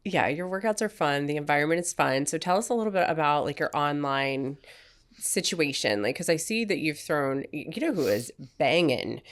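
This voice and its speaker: A woman's voice: deep voice, lively intonation, normal speed. A woman in her forties hosting a podcast, chatty and filler-heavy, laughing often. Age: 40s. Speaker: podcast host. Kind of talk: casual podcast chat.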